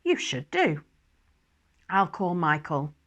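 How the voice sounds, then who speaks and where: A cocky male archangel , as a woman reader puts him on, breezy and dismissive. He talks briskly and teasingly, as in a dramatised story reading. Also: fairly low pitch, sing-song, a bit bright, dull audio, heavily acted. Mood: teasing, excited.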